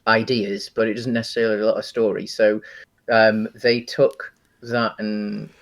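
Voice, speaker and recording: A man co-hosting a casual film discussion, speaking in a hesitant, halting way and qualifying his opinions as he goes. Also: lowish voice, level tone. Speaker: co-host of a video game film discussion show.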